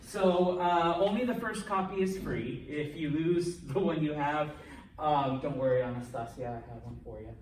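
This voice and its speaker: A male language instructor giving a relaxed classroom lecture, joking and checking in with students often. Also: on the high side, moderate pace. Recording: echoey classroom from a distance, faint noise.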